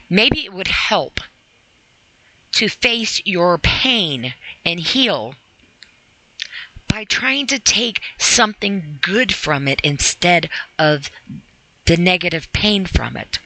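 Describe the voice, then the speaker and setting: Bright-voiced woman, conversational and earnest. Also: fairly low pitch, animated, takes it slowly, pauses often, low-quality recording. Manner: conversational, earnest, rhetorical questions to the listener. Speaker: podcast host reading and commenting on a sobriety e-book. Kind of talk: reading aloud with informal motivational commentary.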